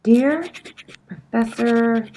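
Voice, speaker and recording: A woman's voice: a little high, very slow, mellow. A woman acting an exasperated, determined amateur sleuth in a comedy radio play, with a theatrical manner. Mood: brisk, determined. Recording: faint background noise.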